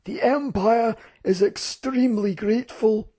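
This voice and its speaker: Narrator acting a scoffing, high-pitched imperial general. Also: dark tone, lightly acted. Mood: pleased. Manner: scoffing, emphatic, drawn-out. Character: scornful, domineering imperial army general, male.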